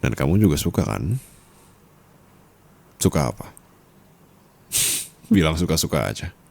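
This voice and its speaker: A man's voice: very animated, full character voice. A man playing a dominant, teasing yet caring sugar-daddy husband in an intimate ASMR roleplay, murmuring and slipping into whispers. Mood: teasing, wounded. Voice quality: very deep, gravelly, husky. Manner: slow, teasing, soft murmurs dropping into whispers.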